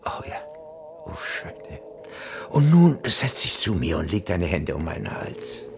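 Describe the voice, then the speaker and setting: A frail old widower in a radio play, his delivery swinging widely in pitch. He is courteous in an old-fashioned, theatrical way, morbid and a little spiteful, over faint noise. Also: sing-song, measured pace, gravelly, darkish tone, heavily acted. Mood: enraptured. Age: older. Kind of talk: radio drama acting.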